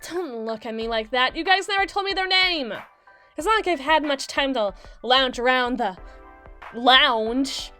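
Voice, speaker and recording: A woman playing a brisk, sassy mermaid public attorney in a scripted ASMR roleplay, with a theatrical, sardonic, wordy delivery. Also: high-pitched, pushed and bright, soft background music. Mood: defensive. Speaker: voice actor in audio roleplay.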